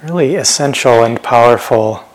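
A man giving guided meditation instructions as a teacher, speaking gently and unhurriedly with pauses and phrases that trail off softly. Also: mid-range voice, low-quality recording. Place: meditation retreat center.

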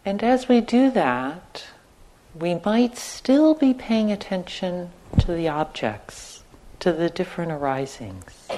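Very slow, mellow woman guiding meditation. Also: lowish voice. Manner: unhurried, gentle phrasing with long pauses. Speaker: meditation teacher. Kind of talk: guided meditation instruction. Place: meditation retreat center.

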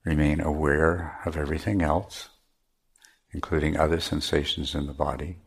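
A man teaching meditation, giving measured guided instructions with pauses between phrases. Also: rumbling low, level tone, creaky, husky.

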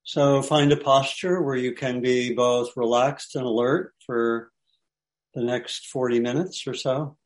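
A man teaching meditation, giving light guided instructions in short phrases with pauses between them. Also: medium pitch, fairly even intonation.

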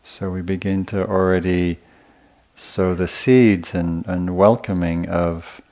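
A man teaching meditation in a gentle, unhurried way, often pausing between short phrases as he gives a guided talk at a retreat. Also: low voice, fairly even intonation.

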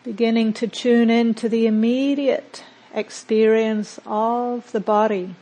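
A woman meditation teacher leading a guided mindfulness practice in a gentle, unhurried manner with long pauses between phrases. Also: fairly high pitch, fairly even intonation, poor audio.

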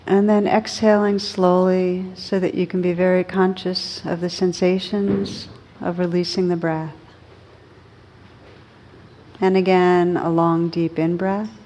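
A woman teaching meditation, guiding listeners gently and unhurriedly, with pauses between short phrases. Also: on the low side, level tone. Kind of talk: guided meditation.

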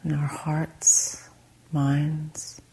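A woman meditation teacher leading a guided meditation in a soft, breathy, gentle way with long pauses. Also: sits low, monotone, rough recording.

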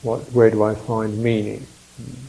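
A man leading a guided meditation as a teacher, speaking contemplatively with reflective pauses and soft murmured mms. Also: lowish voice, flat delivery, vocal fry, rough recording.

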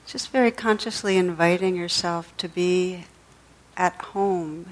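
A woman leading a guided meditation as a teacher, speaking in a gentle, soothing, unhurried way with pauses. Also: lowish voice, rough recording.

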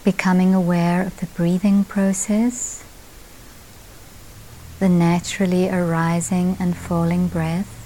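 A woman meditation teacher leading a guided meditation at a retreat, speaking calmly in short phrases with long pauses. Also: fairly low pitch, poor audio. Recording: steady background hiss.

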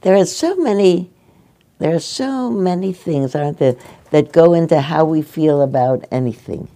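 Older woman, meditation teacher, mellow and gentle, halting delivery. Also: sits low. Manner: gentle, halting, restarts often. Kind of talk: dharma talk with audience questions. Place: meditation hall.

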